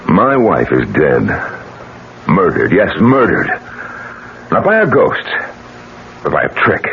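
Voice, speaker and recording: Deep gravelly, combative American businessman. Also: big pitch swings, slow, exaggerated voice. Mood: defiant, forceful. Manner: emphatic, dramatic, pressing with rhetorical questions. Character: determined, combative self-made businessman, a grieving widower. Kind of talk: 1970s American radio drama acting. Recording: noisy, muffled 1970s radio broadcast recording.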